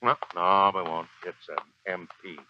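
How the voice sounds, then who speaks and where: An actor playing a proud, embittered old Marine sergeant in a 1940s American radio drama, with gruff, slangy, uneducated speech. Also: male voice, sits low, unhurried, noisy background. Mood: sullen. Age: older. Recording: dull 1940s radio recording, faint music.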